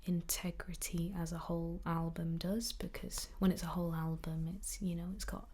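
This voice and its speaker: A young woman whispering in a soft-spoken ASMR chat, slightly croaky while getting over an illness, with frequent ums. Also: lowish voice, flat delivery, normal speed. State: recovering from illness, at times croaky. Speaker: ASMR creator.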